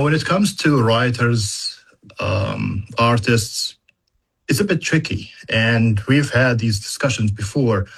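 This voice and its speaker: A man who speaks English as a second language, explaining things as an educator in a measured interview conversation, using examples. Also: mid-range voice, husky. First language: not English. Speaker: university educator in data analytics.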